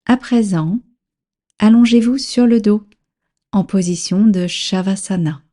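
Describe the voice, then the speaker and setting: Slow, mellow woman guiding relaxation. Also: medium pitch. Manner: calm, soothing, measured instructions with long pauses. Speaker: guided meditation instructor. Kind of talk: guided yoga nidra relaxation.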